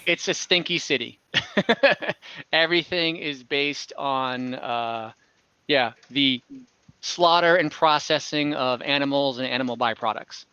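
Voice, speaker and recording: A man's voice: mid-range voice, a little slow, bright, forceful tone, clear, resonant tone, dry recording, highs cut off. A man running a tabletop game as game master, explaining the world in a conversational, improvised narration over an online call.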